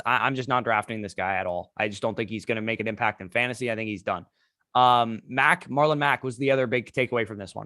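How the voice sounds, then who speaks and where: A man with a slightly hoarse voice, hosting a solo fantasy football recap with quick run-on analysis. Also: mid-range voice, a bit bright, no room sound, clean studio sound. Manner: fast, run-on analysis with restarts. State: hoarse, lost voice. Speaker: fantasy football podcast host.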